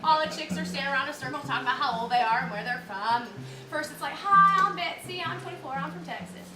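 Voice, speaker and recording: High-voiced woman in a theatre monologue. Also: fast, over music. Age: 30s. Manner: storytelling with laughs, mimicking customers. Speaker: solo stage performer. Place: theatre stage with live audience. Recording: roomy theatre sound from a distance. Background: upright bass, audience laughter.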